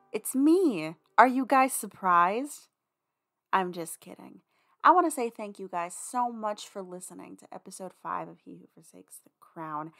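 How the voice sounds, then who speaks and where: A woman's voice: mid-range voice, gravelly, a bit bright. A woman podcast host chatting casually to listeners in an informal outro.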